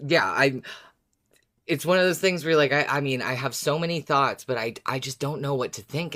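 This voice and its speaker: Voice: mid-range voice, a bit bright. A podcast co-host talking casually, thinking aloud and hedging while discussing a story episode.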